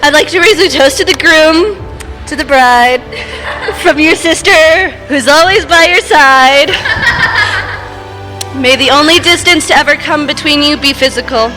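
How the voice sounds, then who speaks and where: A woman giving a prepared, heartfelt wedding toast as a sister, in an earnest, measured way, at a reception. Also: high-pitched, sharp tone, soft background music, poor audio. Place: wedding reception, speaking to guests.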